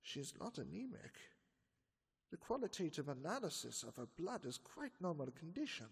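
A man's voice: a little high, very animated, moderate pace, gravelly, husky, heavily acted. A man playing an elderly foreign professor who speaks formal, broken English in a radio drama. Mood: grave. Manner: formal broken English, grave then urgent. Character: elderly male foreign professor, urgent and grave. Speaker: Professor Van Helsing. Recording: long hall reverb.

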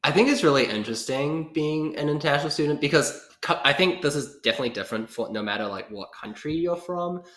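A young man with a New Zealand accent, talking as a guest on a casual podcast. His voice is bright and forward, and his pitch moves a lot as he talks. The room around him gives a clear echo. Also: lively intonation, fast, few pauses. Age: young adult. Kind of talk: casual interview chat. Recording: roomy echo.

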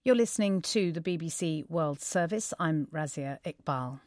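A woman presenting a radio news programme in a measured, formal way. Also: low voice, expressive, a little mellow.

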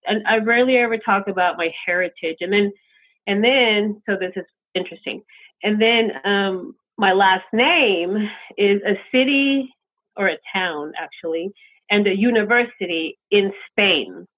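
Halting man on a tinny line. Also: high voice. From United States, childhood in Colombia. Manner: rambling, halting, many fillers. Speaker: podcast host. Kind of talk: casual podcast chat.

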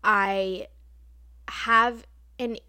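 A woman's voice: mid-range voice, fairly even intonation, drawn-out words, bright, forceful tone, clean tone, dry recording, studio quality. A young American woman with an American accent, chatting casually and poking fun at herself. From United States. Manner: chatty, self-deprecating. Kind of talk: casual chat.

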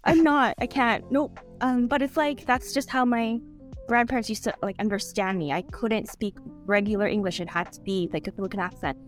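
A woman's voice: on the high side, fairly even intonation, a little fast, soft background music. Chatty woman, rambling and talkative. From Canada. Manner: rambling, chatty, heavy use of "like". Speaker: guest author. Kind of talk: casual podcast chat.

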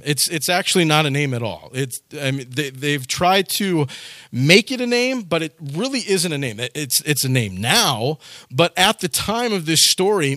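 A man's voice: on the high side, fairly bright tone, no room sound, studio quality. A man preaching a sermon in a conversational, folksy way, with frequent repeated words and side remarks. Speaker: pastor.

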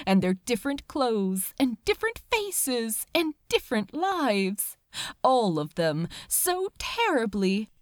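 A woman's voice: on the high side, no room sound, studio quality. A woman reading a story aloud as an audiobook narrator, solemn and emotive in a dramatic scripted style.

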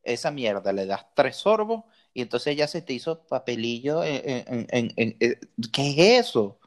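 A man's voice: medium pitch, lively intonation, normal speed. A man with a Venezuelan accent co-hosting a casual podcast, speaking emphatically and often repeating words and rhetorical questions. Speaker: podcast co-host. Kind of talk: casual opinionated podcast chat.

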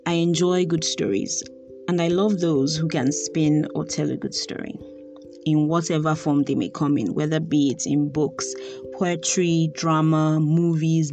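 A young Nigerian woman with a Nigerian accent, thoughtfully telling a personal story and pausing to search for words. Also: low voice, warm tone.

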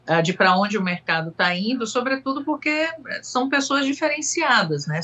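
Woman, Bahian accent, middle-aged, low-pitched, measured, reflective, hesitant. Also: a bit bright, breathy edge. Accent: Bahian Portuguese. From Salvador, Brazil. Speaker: mentor and guest. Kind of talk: panel conversation.

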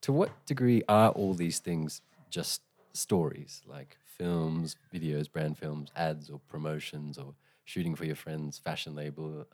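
A man with a very low voice hosts an interview. He asks measured questions and nudges the guest to explain herself. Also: darkish tone. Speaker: interviewer host.

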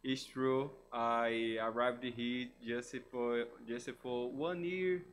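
A man from Brazil with a Brazilian Portuguese accent, a guest pastor preaching in halting English, searching for words. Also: mid-range voice, steady tone, slight background noise. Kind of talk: sermon.

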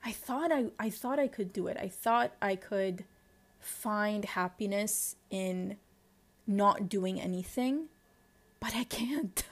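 A woman's voice: mid-range voice, slow pace. Young lebanese woman in her 20s doing a solo diary podcast, open and reflective, keeps restarting her sentences. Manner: candid, introspective, searching for words. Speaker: podcast host. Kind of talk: personal diary-style podcast monologue.

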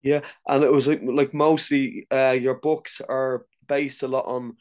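A man hosting a casual podcast interview, asking halting, rambling questions and often restarting his sentences. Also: medium pitch, little pitch movement, a little mellow. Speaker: podcast host.

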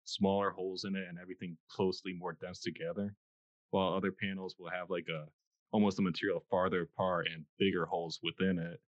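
Young man, very deep voice, hesitant casual host, muffled dry mic. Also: level tone, quick pace, no room sound. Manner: tentative, many fillers of "like". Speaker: podcast host. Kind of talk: casual podcast chat. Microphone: podcast microphone. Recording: dull, dry sound.

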